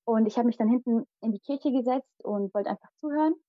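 A young woman whose first language is Malayalam, telling a personal story in a lively, dramatic way as an interview guest. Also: fairly high pitch, brisk, no room sound, narrow, tinny audio.

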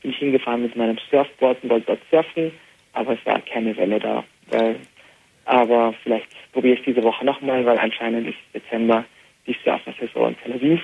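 Gravelly young man on a tinny phone line. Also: mid-range voice, slight background noise. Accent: Austrian German. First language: Arabic. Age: young adult. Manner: relaxed, many filler pauses. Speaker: interview guest, band musician. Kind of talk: phoned-in radio interview. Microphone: telephone.

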